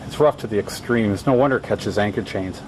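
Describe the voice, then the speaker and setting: A man's voice: lowish voice, brisk. A man giving casual, musing commentary for a travel vlog while out on a coastal track. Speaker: travel vlogger. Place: outdoors on a rocky coastal track. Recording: noisy outdoor audio, surf and wind-like ambience.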